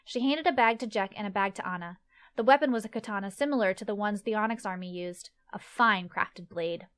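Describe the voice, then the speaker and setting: Mid-pitched woman narrating a fantasy story. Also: fairly quick, fairly bright tone, clear, resonant tone.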